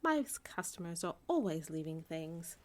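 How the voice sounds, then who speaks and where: Businesslike storybook shop manager, brisk yet helpful performed by a female reader. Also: fairly low pitch, very animated, darkish tone, voice pushed down. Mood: obliging. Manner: businesslike, calling out. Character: brisk, obliging laundromat manager.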